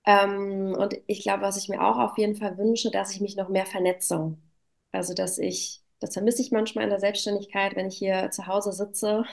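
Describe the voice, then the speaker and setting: A woman with a mid-pitched voice talks in a casual interview. She thinks aloud in a reflective way, often checking in with small tag questions. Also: little pitch movement, normal speed, vocal fry. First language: German. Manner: reflective, filler-laden with frequent "ne" tags. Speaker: interview guest, self-employed business owner. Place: at home.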